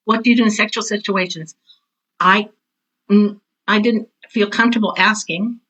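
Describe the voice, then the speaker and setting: Bright-voiced, forthright American woman in her sixties. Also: mid-range voice, steady tone, normal speed, rough recording. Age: 60s. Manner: blunt, forthright, anecdotal. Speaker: interview guest, drama teacher and author. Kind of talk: candid personal conversation.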